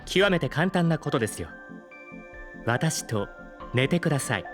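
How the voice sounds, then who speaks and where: AI character, acted, audio drama: polite, measured, unemotional, medium-pitched, over clear background music. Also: lively intonation, close to an everyday voice. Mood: calm, courteous. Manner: formal, polite, measured speech. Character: polite, formal artificial intelligence, calm and unemotional. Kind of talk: scripted audio drama acting. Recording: music behind the voice.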